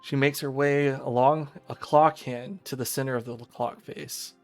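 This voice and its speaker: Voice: medium pitch, steady tone, measured pace. Streamer narrating a visual novel over music. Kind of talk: narration with casual asides.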